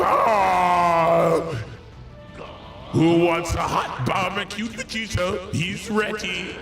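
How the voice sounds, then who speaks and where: Gloating great ape game villain, played by a man: gravelly, slow, swinging taunts, music behind. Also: sits high, lively intonation, echoey room, pitched above the performer's own voice, exaggerated voice. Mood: mocking. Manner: theatrical taunting with cackles and shouts. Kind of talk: improvised in-character game commentary.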